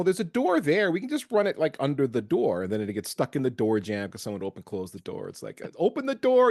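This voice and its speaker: A man hosting a tech podcast, talking with a lively, swooping voice and laughing easily as he chats. His sound is dry and close, with no room around it. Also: medium pitch, no echo. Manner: animated, laughs often, swings between loud and soft. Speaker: podcast host. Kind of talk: casual interview chat.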